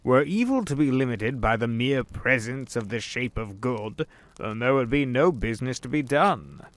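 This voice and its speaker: A man voicing the Devil as a sly, smooth-talking businessman in an audio drama, drawling and knowing. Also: medium pitch, expressive, moderate pace, lightly acted. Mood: musing. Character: the Devil posing as suave rich businessman, middle-aged man. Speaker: voice actor. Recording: with background music.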